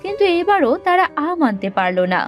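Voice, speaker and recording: A woman's voice: very high voice, very animated, fairly quick. A woman reading scripted fairy-tale narration as a storyteller. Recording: music behind the voice. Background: music.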